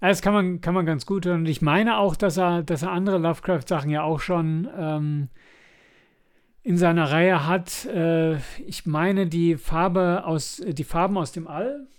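A man's voice: fairly high pitch, steady tone, unhurried, clear, resonant tone. A man co-hosting a podcast in casual review chat, chatty and enthusiastic when recommending things. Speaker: podcast co-host.

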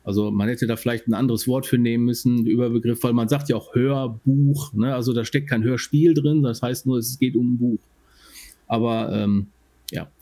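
Mellow German man, medium pitch, explaining casually. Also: steady tone, normal speed. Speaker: podcast co-host. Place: living room at home.

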